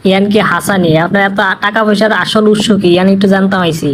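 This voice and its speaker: A woman's voice: medium pitch, fairly even intonation, quick pace, slight background noise, lightly acted. A woman voicing a persistent, challenging television reporter in a comic cartoon, speaking in a regional Bangladeshi dialect. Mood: probing. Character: pushy, probing television news reporter.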